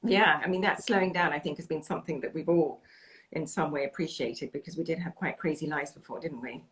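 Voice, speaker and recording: A woman's voice: low voice, fairly quick, rough recording. A woman hosting a video call interview from her home in London, chatty and full of effusive praise. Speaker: interview host.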